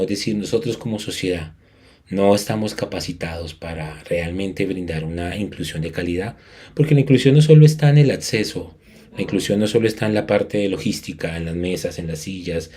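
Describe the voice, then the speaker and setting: A man from Colombia gives a conference lecture as a music educator, earnestly stressing his points and often restarting his sentences. His voice sits low and sounds mellow and warm. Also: slightly rough. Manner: earnest, emphatic, with frequent restarts. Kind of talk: academic conference talk.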